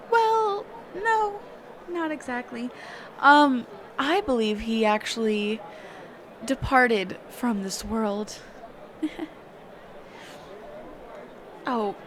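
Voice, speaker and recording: A woman's voice: on the high side, fairly bright tone, a little hiss or hum. A woman playing a kind, reclusive magic teacher in an intimate roleplay audio, speaking hesitantly, flustered and trailing off. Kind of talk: intimate character roleplay audio, one-sided conversation.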